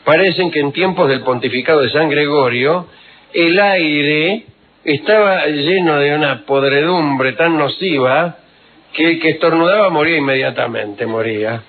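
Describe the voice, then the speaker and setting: Wry man telling old anecdotes. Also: a little high. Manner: unhurried, wry, digressive, with many fillers. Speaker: radio host telling historical anecdotes. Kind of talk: rambling storytelling on radio. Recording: tinny phone-like radio recording.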